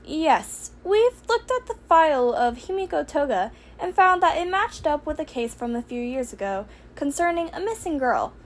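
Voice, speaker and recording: A woman's voice: sits high, big pitch swings, normal speed, hardly any pauses, voice pushed up. A woman voicing a small, courteous male school principal in a fan fiction audiobook, speaking in polite, formal phrases. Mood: earnest.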